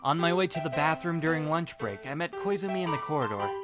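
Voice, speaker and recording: A man narrates a first-person story in a fairly high voice with very animated swings. His delivery is wry, dramatic and self-deprecating. Music plays clearly behind him. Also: quick pace. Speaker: audiobook narrator playing the first-person lead. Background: background music.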